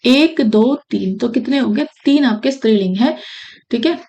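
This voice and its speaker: A woman's voice: on the high side, moderate pace, mellow, highs cut off. A woman from India teaching an exam-prep grammar lesson. She repeats key phrases and keeps checking in with her students.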